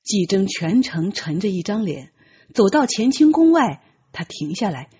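A woman narrating a novel as an audiobook, with measured, expressive dramatic storytelling. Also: mid-range voice, slightly rough, highs cut off.

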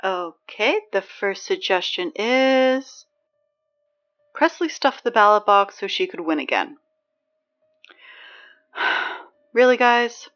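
Woman acting as sarcastic school announcer, dry, exasperated, emphatic, medium pitch, dull lo-fi sound, music underneath, fiction podcast. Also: animated, slow, long pauses, a bit bright.

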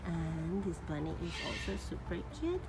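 A woman showing her doll collection in a bedroom, cooing and gushing in a slow baby-talk way with soft laughs, filmed on a handheld phone. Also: fairly low pitch, sing-song, creaky, very noisy. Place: bedroom at home. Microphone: phone camera held by the speaker.

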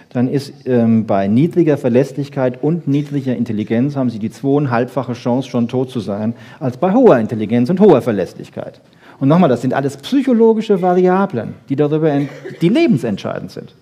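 A man lecturing freely on popular science to an audience, emphatic, with rhetorical questions and frequent checking tags, in a slightly husky voice. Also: mid-range voice, animated, moderate pace, warm tone.

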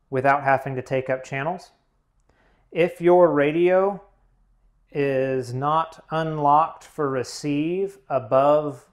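A man narrating a hands-on tutorial, explaining plainly one step at a time. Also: medium pitch. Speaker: instructor, demonstrator.

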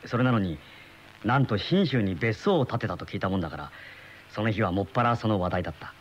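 A man's voice: on the low side, lively intonation, quick pace, slight background noise, close to an everyday voice. An actor playing a pensive man in his thirties with a secret obsession, in a radio drama. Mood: brooding. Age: 30s. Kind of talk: radio drama acting with first-person narration. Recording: dull lo-fi old radio recording, music behind.

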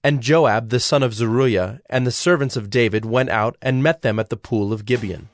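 A man narrating a dramatized audio Bible in a measured, solemn storytelling style. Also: mid-range voice, dry recording, clean studio sound. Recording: faint music behind narration.

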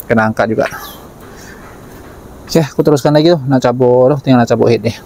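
Gravelly Malaysian mechanic, dark voice. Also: male voice, mid-range voice, fast talker, slight background noise. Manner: plain, matter-of-fact, explains while working. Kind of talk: casual work commentary.

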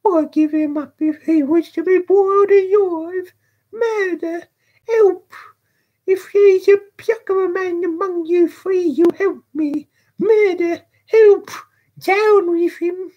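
A man voicing a frantic, defiant young boy in a storybook, with a shrill, piercing, screaming delivery. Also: slow, highs cut off. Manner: shouting, screaming in desperation.

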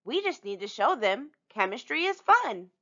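A woman's voice: fairly high pitch, sing-song, moderate pace, a bit bright, lo-fi sound, voice pushed up. A woman voicing an eager young schoolgirl who loves science in a storybook, earnest and emphatic.

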